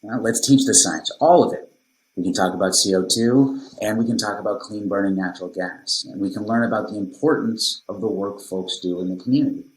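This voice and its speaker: A diplomatic male mediator played by a man in a podcast drama. His voice is very deep and measured, always conciliatory, with a noticeable room echo around it. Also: natural voice. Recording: roomy, echoing sound.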